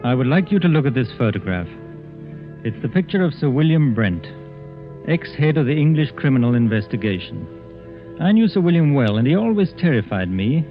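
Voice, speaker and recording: An actor playing a reflective gentleman telling of his friend in intimate, dramatic 1940s radio style. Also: mid-range voice, big pitch swings, brisk, soft background music. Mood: awed, uneasy. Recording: dull 1940s radio recording.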